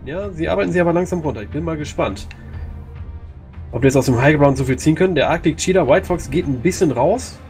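A man casually commentating a video game match, chatty and quick to react. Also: on the high side, darkish tone, faint music behind. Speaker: esports commentator.

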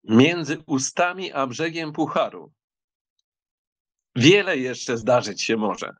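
A man plays an insolent, lovestruck count in a dramatized novel. His voice is husky and low-set, slow in pace, swinging widely in melody with bold theatrical stubbornness. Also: animated, dry recording. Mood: cool, insolent. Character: arrogant German count, impetuous, infatuated, stubborn suitor. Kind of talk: audiobook drama reading.

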